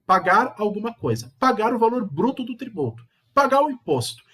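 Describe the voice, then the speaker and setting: Husky Brazilian man teaching, slightly hoarse. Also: sing-song, a little fast, a bit bright. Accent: Brazilian Portuguese. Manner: explanatory, repeats key terms, rises in pitch for emphasis. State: has a cold, hoarse. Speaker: law teacher. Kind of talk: online video lesson.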